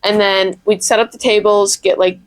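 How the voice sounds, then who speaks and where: A woman's voice: mid-range voice, fairly even intonation, no echo. A young adult woman whose first language is French, co-hosting a casual podcast and recalling family parties. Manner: conversational, halting with fillers. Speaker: podcast co-host, daughter. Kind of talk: casual chat.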